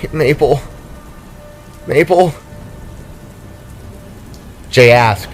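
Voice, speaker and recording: A man reading a scripted, dramatic story as its narrator. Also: on the low side. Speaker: author and narrator.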